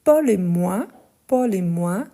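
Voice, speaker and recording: A woman leads a live French conjugation lesson, reading each practice sentence aloud at a very slow, deliberate pace. Her voice sits in the middle of the range with a mellow, warm tone, and she explains each rule patiently. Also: mid-range voice, lively intonation. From Portugal. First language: Portuguese. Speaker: French teacher.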